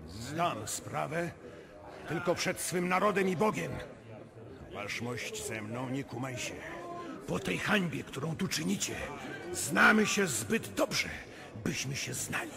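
Gravelly, proud male marshal. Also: fairly high pitch, expressive, takes it slowly, full character voice. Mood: cold defiance. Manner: dignified, scornful. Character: proud, defiant marshal of parliament. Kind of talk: theatrical radio drama dialogue. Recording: crowd behind the voice.

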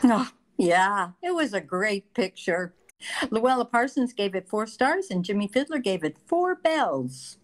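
A woman's voice: mid-range voice, big pitch swings, a little slow. An older woman playing an agreeable, gossipy housewife friend in a 1940s radio comedy. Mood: agreeing, wry.

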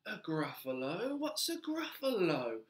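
A woman voicing a sly, falsely friendly storybook snake with a breathy, drawn-out manner. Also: lowish voice, very animated, pitched above the performer's own voice. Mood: sly, inviting. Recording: roomy, echoing room.

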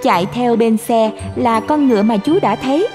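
A woman reading scripted narration for an animated fairy tale. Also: on the high side, moderate pace, over music.

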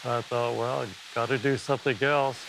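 An older man with a gravelly, rough-edged voice speaks bluntly and folksily. He is outdoors on a rural farmstead and speaks into a lapel mic. Music plays underneath. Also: mid-range voice, flat delivery, a little fast, fairly bright tone, slight background noise, rough recording. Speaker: founder of an artist residency farm. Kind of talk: casual interview and giving work directions. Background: background music.

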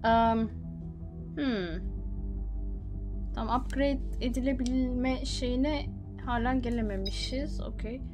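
A woman's voice: fairly high pitch, drawn-out words, long pauses. A woman doing casual, playful gameplay commentary, talking to herself and slipping in English slang and swearing. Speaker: gaming streamer. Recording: game music behind the voice. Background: loud game music and sound effects.

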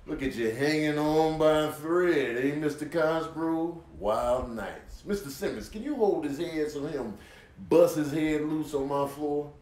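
Dry, mock-formal classroom teacher, a man performing: slightly high voice, roomy sound. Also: unhurried, a little mellow, distant mic, natural voice. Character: drily amused teacher addressing drowsy small pupils. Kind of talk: comic voiceover.

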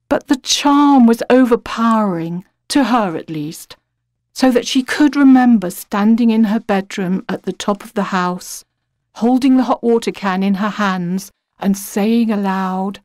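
A woman's voice: mid-range voice, a little slow, a little mellow, no room sound. British woman narrating literary fiction, dry sound. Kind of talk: literary audiobook narration, dramatic reading.

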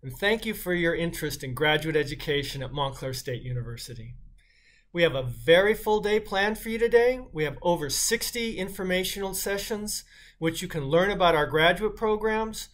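A middle-aged man with a slightly high voice delivers a prepared welcome speech. He speaks as a university dean, recorded at desk distance in a small office. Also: a bit bright.

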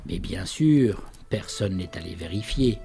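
An old village storyteller in an audio drama, swinging widely in pitch. He is theatrical and teasing, relishing ominous tales. Music plays clearly behind him. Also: animated, measured pace, dark tone, full character voice. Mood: ominous storytelling. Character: old Breton father and fireside storyteller, jovial yet ominous. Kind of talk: French audio drama acting.

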